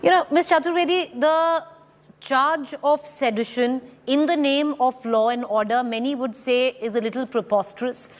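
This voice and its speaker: A woman's voice: high-pitched, moderate pace, long reverb tail. A woman with an Indian accent working as a news interviewer, putting challenging, pressing questions about politics.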